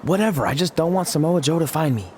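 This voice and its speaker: A man's voice: fairly high pitch, expressive, fast talker, darkish tone, close to an everyday voice. A man playing a cocky, goofy young rookie wrestler in a game cutscene, flippant and full of excuses. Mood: sheepish, secretive. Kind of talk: scripted comedic game cutscene. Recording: clear background noise, ambience.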